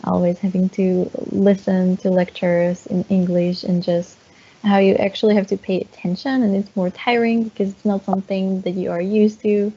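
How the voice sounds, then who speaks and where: Woman lecturer, mellow tone, thoughtful restarts, lo-fi call sound. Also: medium pitch, little pitch movement, breathy edge. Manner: measured, repeats words while thinking. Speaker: teacher and therapist. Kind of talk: interview answer on a video call. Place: room at home. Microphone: wired earphone mic on a video call. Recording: dull lo-fi video call audio.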